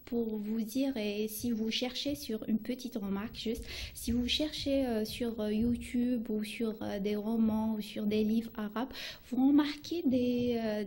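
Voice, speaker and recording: A woman whose first language is Arabic teaches beginners, slow and unhurried. Her voice sits a little high but sounds dark and mellow. She speaks haltingly, often stopping to restart. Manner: halting, many restarts and fillers. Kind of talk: beginner language lesson on radio.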